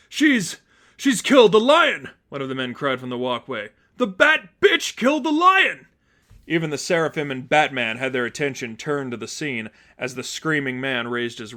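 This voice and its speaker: A man reading scripted story narration in a dramatic, deliberate manner. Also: mid-range voice, bright, forceful tone. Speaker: fan fiction reader, narrator.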